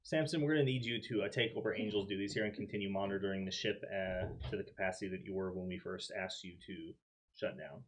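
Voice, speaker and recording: A man with a fairly low voice takes part in a tabletop roleplaying game. He speaks his character's lines in a conversational way. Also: fast.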